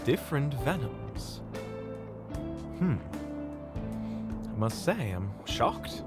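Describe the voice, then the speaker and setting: A man voicing a lively, formal fantasy mentor. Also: mid-range voice, drawn-out words, over loud music, voice pushed up. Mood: curious, surprised. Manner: formal, inquiring. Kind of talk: fantasy audio drama acting.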